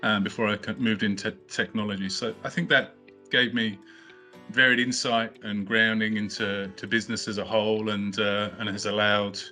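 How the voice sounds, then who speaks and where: A man's voice: on the low side, flat delivery, moderate pace, pushed and bright, soft background music. A middle-aged man, a company co-founder, answering interview questions casually, with many fillers and restarts. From not from Australia, studied in the UK. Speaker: company co-founder, podcast guest.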